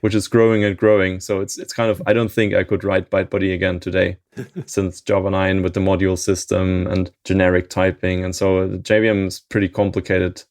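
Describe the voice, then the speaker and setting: A man in his thirties, a software maintainer being interviewed, talking in a thoughtful way and chuckling often mid-sentence. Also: deep voice, flat delivery, brisk, no echo, clean studio sound. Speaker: open source maintainer, interview guest. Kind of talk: casual interview chat.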